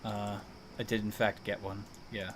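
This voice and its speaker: A man's voice: fairly low pitch, level tone, normal speed, a little hiss or hum. A man chatting casually and thinking aloud as he streams a video game, in a playful manner.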